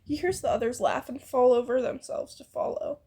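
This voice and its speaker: A woman's voice: a little high, fairly even intonation, moderate pace, dark tone, slightly rough. A woman casually reading a fan fiction story aloud as narrator.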